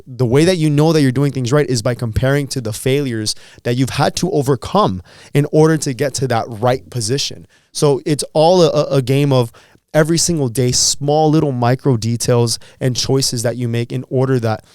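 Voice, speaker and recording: A man in his thirties talks with earnest encouragement as a podcast co-host, often repeating words while he gathers his thoughts. His voice is smooth and resonant at a middling pitch. The sound is dry and close, with no room around it. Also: normal speed, darkish tone, no room sound. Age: 30s. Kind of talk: casual motivational chat.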